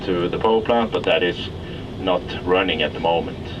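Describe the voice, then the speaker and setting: Norwegian-accented man guiding a plant tour in a fairly low voice, halting English, recorded from a distance outdoors. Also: dull audio. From Norway. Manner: halting, searching for English words. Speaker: tour guide at an industrial plant. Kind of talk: guided tour commentary. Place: outdoors at an industrial site. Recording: distant voice under loud outdoor traffic noise.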